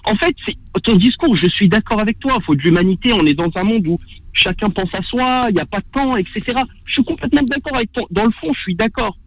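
A man's voice: high-pitched, lively intonation, fast, no room sound. A man in his thirties from Algeria, calling in to a radio show and arguing defensively, often repeating himself. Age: 30s. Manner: defensive, repetitive, talks over the host. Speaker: caller. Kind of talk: heated call-in debate. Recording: tinny phone line.

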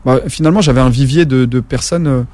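A man from France talks as a guest in a loose, rambling way, often restarting his sentences and dropping slang. His voice is dark and mellow, sitting at an ordinary pitch. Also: fast talker. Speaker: guest, former taxi driver. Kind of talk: casual interview talk.

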